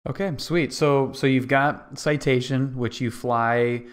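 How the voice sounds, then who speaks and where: Brief: male podcast host, mid-range voice, casual, quick "oh nice" affirmations. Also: mid-range voice, fairly even intonation. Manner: friendly, brief affirmations and recap questions. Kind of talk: interviewer, casual chat.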